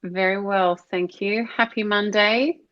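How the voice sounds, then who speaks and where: A woman's voice: mid-range voice, fairly even intonation, measured pace. A woman hosting a business podcast, polished and upbeat as she greets and questions her guest. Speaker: podcast host. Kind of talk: scripted intro, then interview host. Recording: dull lo-fi sound with music behind.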